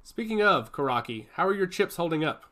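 A man voicing a calm, wry young man who supports his siblings in a read-aloud story. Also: on the high side, fairly quick.

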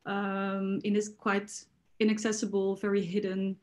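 A woman's voice: mid-range voice, completely flat delivery, a little slow. Young woman on a zoom panel at home, thoughtful. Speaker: designer, panelist. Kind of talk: casual panel talk. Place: living room at home. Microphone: video call.

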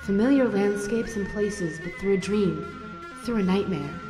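A woman narrating an eerie scripted introduction as host, in a measured, mysterious manner. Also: medium pitch, reverberant, big-room sound, over music.

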